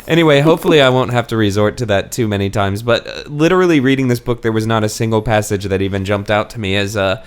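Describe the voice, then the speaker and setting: A man's voice: lowish voice, quick pace, hardly any pauses, clean tone. Irreverent, jokey male podcast host. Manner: irreverent, jokey, laughs through his sentences. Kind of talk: casual comic book-discussion chat.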